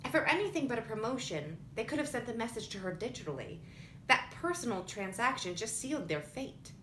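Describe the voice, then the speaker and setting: A woman's voice: big pitch swings, fairly quick, fairly bright tone. A woman reading scripted fiction aloud as an audiobook narrator. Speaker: audiobook reader. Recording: roomy echo with faint rumbling noise.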